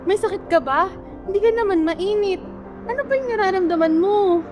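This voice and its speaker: A woman's voice: extremely high pitch, normal speed, music in the background. A woman with a Filipino accent playing a worried, nagging mother in an amateur radio drama. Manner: scolding, exclamatory. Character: worried, nagging, quick-to-scold mother of grown children.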